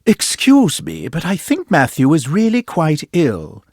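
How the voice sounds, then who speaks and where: A man's voice: on the high side, huge pitch swings, a little slow, a little mellow, no echo, heavily acted. A man reading a storybook and voicing a worried, indignant king, close to his own voice. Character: worried, angry king, middle-aged man.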